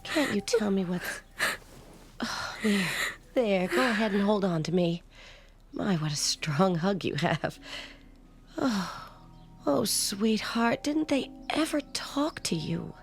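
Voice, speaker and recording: Gentle, grieving housewife, expressive and emotional. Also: female voice, mid-range voice, slow pace, creaky. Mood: tender, sorrowful. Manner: tender, pleading, emotional. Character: gentle grieving housewife, protective of an orphaned child. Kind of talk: old-time radio drama acting. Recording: vintage-style radio drama, music underneath.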